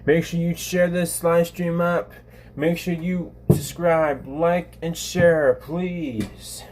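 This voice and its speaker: A man in his twenties hosting a casual livestream, talking to viewers in a halting, repetitive way with frequent restarts. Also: a little high, fairly even intonation, darkish tone. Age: 20s. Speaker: live streamer, channel host.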